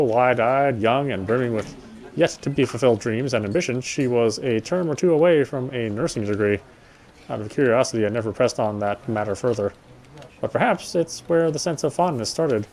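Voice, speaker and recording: A man's voice: mid-range voice, darkish tone. A man reading visual novel text aloud as he plays, stumbling and rereading now and then with casual asides. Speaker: game streamer reading aloud.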